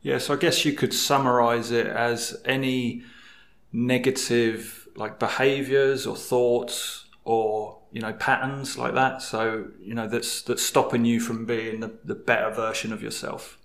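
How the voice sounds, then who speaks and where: A man's voice: medium pitch, little pitch movement, a little slow, a bit bright, roomy sound. A man from England with an English accent, a guest coach chatting hesitantly on a podcast with many fillers and restarts. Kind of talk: casual podcast chat.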